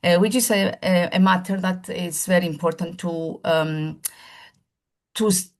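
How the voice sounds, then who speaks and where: Woman with a creaky voice presenting a seminar. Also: lowish voice, steady tone, unhurried. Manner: earnest and searching for words, with frequent restarts; formal lecture phrasing with emphatic lists. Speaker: primary school teacher presenting a seminar.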